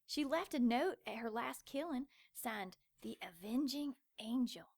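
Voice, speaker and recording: Folksy diner waitress, high bright pitch, animated and chatty. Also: female voice, measured pace, creaky, exaggerated voice. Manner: friendly, gossipy, folksy. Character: chatty small-town female diner waitress.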